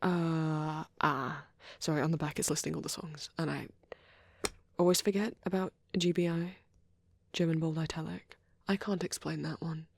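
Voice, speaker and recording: A woman with a low, husky voice speaks softly and close to the microphone in a very dry recording. She rambles in an ASMR style, shy and hesitant, often sinking into a breathy half-whisper. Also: steady tone, normal speed, dry recording. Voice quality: soft, breathy. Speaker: ASMR roleplay creator. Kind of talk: soft rambling ASMR chat.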